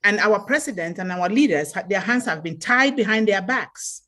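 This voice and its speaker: A woman's voice: mid-range voice, lively intonation, normal speed, pushed and bright. A woman from Cameroon speaking passionately and emphatically on an online call. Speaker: participant. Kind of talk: open mic speech.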